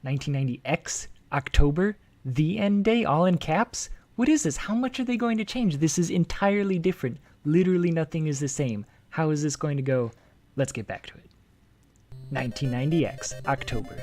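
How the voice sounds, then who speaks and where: Energetic man hosting a game translation. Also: fairly high pitch, moderate pace, faint music behind. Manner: lively, jokey, breaks off to comment with sudden excitement. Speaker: host and translator of a video game. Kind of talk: scripted commentary reading translated game text.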